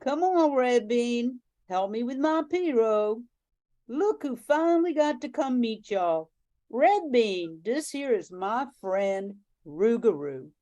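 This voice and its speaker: A woman's voice: fairly high pitch, animated, slow, dark tone. A woman playing a feisty, bossy, kind-hearted grandmother in a radio drama, with a folksy, scolding, coaxing way of talking. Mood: welcoming. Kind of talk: amateur radio drama acting.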